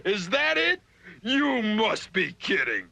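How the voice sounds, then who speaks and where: A man's voice: high voice, wildly swooping pitch, slow, gravelly, pushed and bright, heavily acted. A man voicing a skeptical, pompous, authoritative lion in a cartoon story. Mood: incredulous. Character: skeptical authoritative male lion judging a contest.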